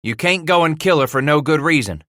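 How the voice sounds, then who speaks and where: A man's voice: a little high, expressive, a little fast, pushed and bright, dry recording, studio quality, pitched above the performer's own voice. A man voicing an earnest, stubborn young trapper in a western audiobook, pleading in rustic dialect. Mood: stubborn, desperate.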